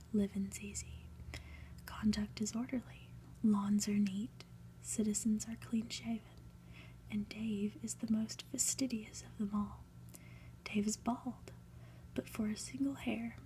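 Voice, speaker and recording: A woman hosting ASMR, whispering gently as she reads a book aloud with casual asides. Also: mid-range voice, slight background noise, low-quality recording. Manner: gentle, unhurried, soft-spoken. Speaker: ASMR host.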